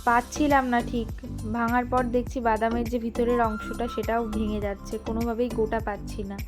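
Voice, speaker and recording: A woman's voice: fairly high pitch, level tone, fairly quick. A woman talking casually for a vlog, with music in the background. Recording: music over the voice.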